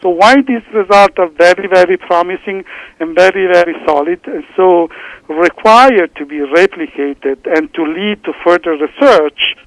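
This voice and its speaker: A man's voice: sits high, no echo, low-quality recording. A man from Italy with an Italian accent, a researcher answering interview questions with frequent restarts and fillers. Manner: halting, many restarts and fillers. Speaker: researcher guest.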